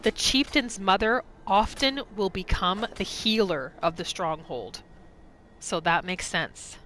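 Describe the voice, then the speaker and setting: A woman narrating a role-play gaming video, musing aloud about her character in an expressive, questioning way. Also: mid-range voice, takes it slowly, slight background noise, low-quality recording.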